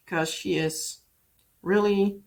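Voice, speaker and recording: A woman's voice: medium pitch, steady tone, takes it slowly, creaky. Woman, Dutch accent, native Dutch speaker, casual rambling chat, lots of fillers. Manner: rambling, full of "like" and "yeah". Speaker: craft vlogger.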